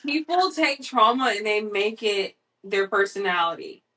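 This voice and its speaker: A woman in her twenties co-hosting a casual podcast, talking bluntly and candidly in a fairly even tone. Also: mid-range voice, unhurried, distant mic. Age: 20s. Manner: blunt, candid, says she sounds monotone. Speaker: podcast co-host. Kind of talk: casual chat.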